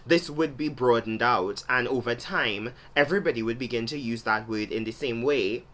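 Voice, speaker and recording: A man's voice: mid-range voice, moderate pace, fairly bright tone. A young man with a Trinidadian accent, from Trinidad and Tobago, explaining his thoughts in a solo vlog in a thoughtful, explanatory way. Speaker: vlogger. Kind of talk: solo talk-to-camera vlog.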